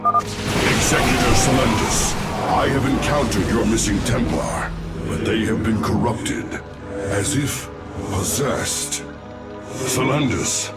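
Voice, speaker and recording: An actor playing an ancient, wise male alien warrior-mystic in a video game, speaking in a grave, measured, solemn way. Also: medium pitch, over loud music, lightly acted. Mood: urgent. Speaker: game character. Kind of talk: video game cutscene voice acting.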